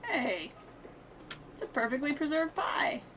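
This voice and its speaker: Female streamer, slightly high pitch, casual self-directed play-by-play in short playful asides, noisy recording with typing. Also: very animated, a little slow, a bit bright. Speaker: live streamer. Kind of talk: casual gameplay commentary.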